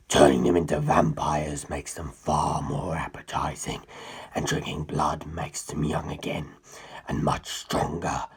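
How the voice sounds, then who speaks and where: A man voicing an ancient, menacing inhuman being in a dramatic story, gravelly and breathy, with grandiose, condescending speech. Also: full character voice. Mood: menacing, hungry. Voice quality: very deep, gravelly, husky, breathy. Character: ancient, menacing, superior male non-human predator musing on humans. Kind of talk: dramatic audiobook character reading.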